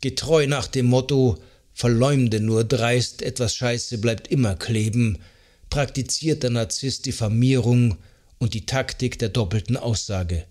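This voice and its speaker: A man reads scripted nonfiction as an audiobook narrator, in an articulate, measured, explanatory way. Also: medium pitch, darkish tone. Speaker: audiobook narrator of a psychology self-help book.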